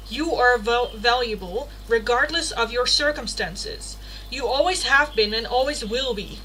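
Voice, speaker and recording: Bright-voiced Dutch woman streaming tarot. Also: a little high, slight background noise, poor audio. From Netherlands. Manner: chatty, laughs often, swears casually, reads card text aloud. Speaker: tarot reader hosting a livestream. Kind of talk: casual livestream chat and card reading.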